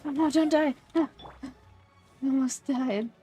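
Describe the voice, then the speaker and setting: A young woman streaming a game, talking slowly and unhurriedly in a fairly high voice. She thinks aloud as she plays, hesitant and full of fillers, often trailing off mid-phrase. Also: mellow, soft background music. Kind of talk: casual gameplay commentary.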